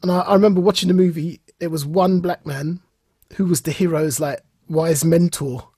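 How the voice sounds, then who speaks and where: A man's voice: fairly high pitch, fairly even intonation, normal speed, warm tone, breathy edge, no echo, studio quality. A man from England with a British accent, co-hosting a podcast and telling anecdotes conversationally, acting out reactions as he goes. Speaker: podcast co-host. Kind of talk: casual chat, telling anecdotes.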